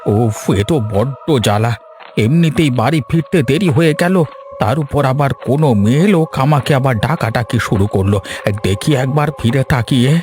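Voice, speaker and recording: A man's voice: mid-range voice, lively intonation, moderate pace, darkish tone, close to an everyday voice. A man voicing a jittery, superstitious village man afraid of ghosts in a horror-comedy cartoon, anxious and exclaiming, with music behind. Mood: uneasy.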